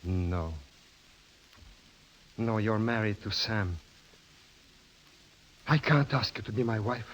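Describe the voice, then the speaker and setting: An actor playing an ardent, courtly European suitor in a 1930s radio drama, formal and pleading, on a dull vintage recording with some hiss. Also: male voice, lowish voice, slow, pauses often. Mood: ardent, tender.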